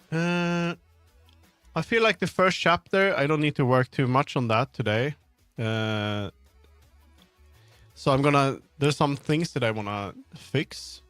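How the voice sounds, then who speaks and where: Male streamer, medium pitch, loose off-the-cuff chat, thinks aloud and trails off, dry close mic over background music. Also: fairly bright tone, no room sound. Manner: rambling, thinks aloud, trails off mid-sentence. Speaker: livestreamer, game developer. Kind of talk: casual rambling livestream chat while working.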